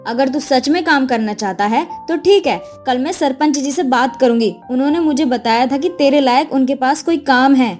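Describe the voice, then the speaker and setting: Lady doing old village saas for a cartoon, naggy n bossy, high voice, faint music behind. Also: normal speed, few pauses, darkish tone, natural voice. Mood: weary scolding. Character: elderly village mother-in-law, hardworking, fussy, doting on goat. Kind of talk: Hindi animated moral story dialogue.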